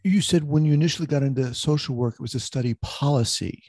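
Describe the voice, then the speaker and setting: A man hosting an interview podcast, with an upbeat, energetic delivery when introducing guests. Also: mid-range voice, fast talker, no room sound, studio quality. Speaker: podcast host.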